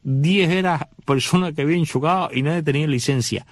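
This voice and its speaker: A man hosting a radio talk show in a dry, close studio sound. His voice sits a little high for a man. He talks to the listener as if chatting, leaning on fillers and asides. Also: a little fast, no room sound. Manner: conversational, many fillers, addresses listener directly. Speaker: radio host.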